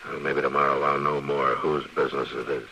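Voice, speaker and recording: An actor with an American accent playing a steady, authoritative frontier lawman in an old radio western, asking direct, probing questions. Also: very deep voice, brisk, creaky. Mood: calm, concerned. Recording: faintly noisy vintage radio recording.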